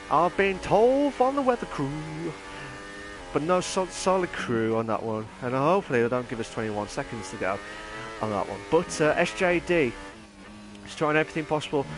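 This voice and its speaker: A man commentating a sim racing league, reading chat aloud and breaking into excited shouting. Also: medium pitch. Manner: bursts into excited shouting over laps; reads chat messages aloud, chatty. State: nearly losing his voice from shouting.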